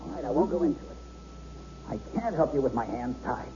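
An earnest, urgent attorney, played by a male radio actor, with a very dark, rounded tone and sweeping intonation. He presses his case on a muffled old radio drama recording. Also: medium pitch, big pitch swings, brisk, close to an everyday voice. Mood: exasperated. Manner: insistent, earnest, persuasive. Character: urgent, protective lawyer pleading with a friend. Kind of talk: 1970s radio drama acting. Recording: dull, noisy old radio broadcast recording.

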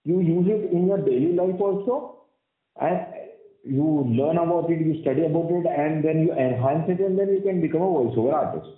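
A man with a husky, heavy voice, explaining at length as an interview guest, with many fillers and restarts. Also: on the high side, normal speed. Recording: roomy, tinny phone-like sound.

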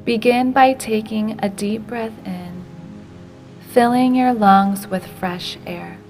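Female meditation guide, mid-pitched, slow and gentle, phrases trailing softly into breath, music underneath. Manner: slow, unhurried, gentle delivery with soft breathy phrase endings.

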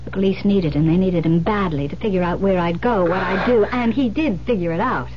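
A woman's voice: on the low side, brisk, close to an everyday voice. An actress playing a sadistic escaped madwoman killer in an old radio thriller, taunting and condescending with menace. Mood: gloating, mocking. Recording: dull, noisy old radio recording.

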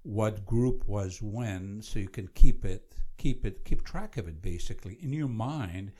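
A man's voice: fairly low pitch, a little slow, dark tone. A man from Iraq with an Iraqi accent, discussing a book conversationally and explaining things with some repetition.

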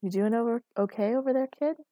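A woman's voice: fairly high pitch, fast, dark tone, dry recording, voice pushed up, natural voice. A woman voicing an irreverent, teasing woman lodger with a flippant, amused manner in audiobook dialogue.

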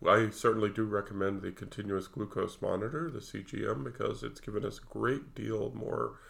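A man's voice: fairly low pitch, steady tone, fairly quick, creaky, a bit bright. A man in his sixties co-hosting a casual podcast, rambling and joking with lots of fillers. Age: 60s. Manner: rambling, jokey, full of fillers. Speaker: podcast co-host. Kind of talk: casual chat.

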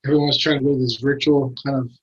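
A man in his forties from San Diego, a wine professional giving relaxed, plain-spoken answers in an interview. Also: mid-range voice, quick pace, slightly rough. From San Diego, USA. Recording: dull lo-fi sound.

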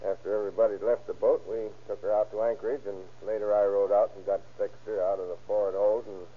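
Actor as a low-voiced, deferential old-radio boat captain. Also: male voice, little pitch movement, quick pace, lightly acted. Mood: measured testimony. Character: deferential male boat captain, a plain-spoken witness. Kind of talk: 1940s radio acting. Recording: muffled, hissy old radio recording.

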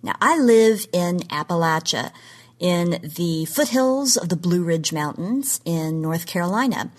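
An American woman from Oklahoma presenting a scripted podcast talk in an articulate storytelling style with measured emphasis. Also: lowish voice, expressive. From Oklahoma, United States.

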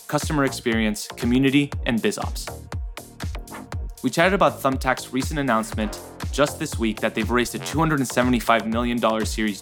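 Upbeat male podcast host, faint music. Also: medium pitch. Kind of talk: podcast hosting.

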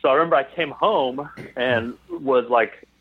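A man as an interview guest, telling anecdotes casually and haltingly over a tinny remote call. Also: mid-range voice, a little hiss or hum. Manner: halting, repeats phrases as he thinks aloud.

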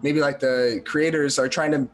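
A man's voice: mid-range voice, fairly even intonation, normal speed, slight background noise. A man guesting on a casual podcast, easygoing and agreeable, talking with lots of fillers. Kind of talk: casual chat.

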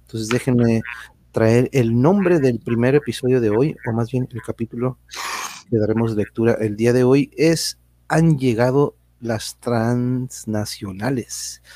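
Husky-voiced man hosting casually. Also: medium pitch, dark tone, no echo. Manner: rambling, often chuckling. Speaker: host. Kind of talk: casual livestream chat.

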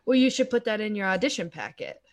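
A young woman co-hosting a podcast, chatting casually and agreeing warmly with her partner. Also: medium pitch, very animated, fast talker. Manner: agreeable, encouraging, many "mm-hmm"s. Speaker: podcast co-host. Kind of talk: casual chat.

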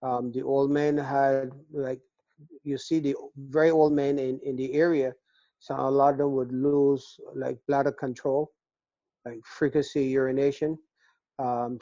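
An older man from Barbados with a Barbadian accent, telling his story in a casual interview, earnest and halting with repeated words. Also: medium pitch, a little mellow, no room sound. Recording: dull, dry lo-fi recording.

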